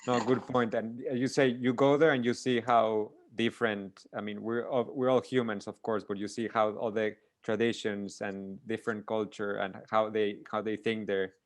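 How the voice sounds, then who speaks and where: A man's voice: lowish voice, flat delivery, moderate pace, few pauses, dry recording. A man hosting a casual interview, rambling through long questions and restarting them with many fillers. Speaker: interview host.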